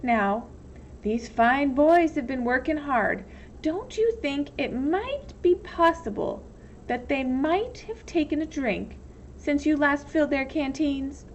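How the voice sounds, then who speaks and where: A woman reading a storybook voices a tall female camp warden, cold and commanding, with slow, menacingly polite speech. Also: sits high, lively intonation, lo-fi sound, slight background noise, pitched above the performer's own voice, full character voice. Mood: icy, patient.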